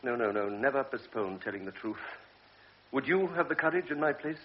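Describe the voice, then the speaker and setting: An actor playing a grave older doctor, speaking in a measured, lecturing way in a radio drama. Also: male voice, mid-range voice, expressive, close to an everyday voice. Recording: dull old radio recording with faint hiss.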